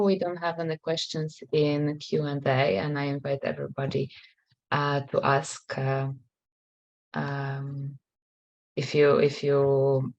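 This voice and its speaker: Woman hosting online q&a, deep slow voice, kinda muffled video call sound. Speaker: webinar host. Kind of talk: moderating an online question session. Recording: dull video-call audio.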